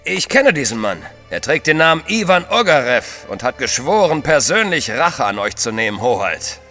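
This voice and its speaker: An actor playing a loyal, deferential courier of the Tsar in a German audio drama. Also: male voice, medium pitch, big pitch swings, moderate pace, bright, forceful tone, soft background music. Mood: earnest. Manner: deferential, earnest. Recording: long hall reverb.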